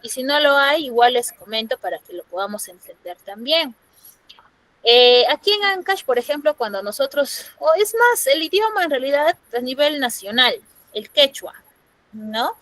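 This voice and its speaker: Woman, Peruvian accent, teacher, higher voice, dry close sound, explains and checks in often. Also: animated, moderate pace, a bit bright, dry recording. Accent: Peruvian Spanish. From Peru. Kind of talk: online class lecture. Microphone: computer microphone on a video call.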